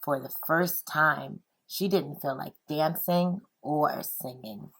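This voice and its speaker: A woman teacher reading aloud and speaking to children in an expressive, encouraging, deliberate way. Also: sits low.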